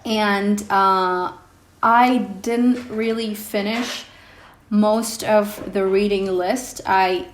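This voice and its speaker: A young woman vlogger whose first language is Indonesian, talking casually to her viewers with many pauses and ums. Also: medium pitch, fairly even intonation, slight background noise. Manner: hesitant, many ums, reflective. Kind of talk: casual vlog talk to camera. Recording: echoey room with faint music.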